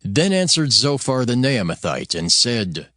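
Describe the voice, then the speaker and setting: A man's voice: medium pitch, sing-song, measured pace, pushed and bright, no echo, clean studio sound. A man narrating scripture from a script.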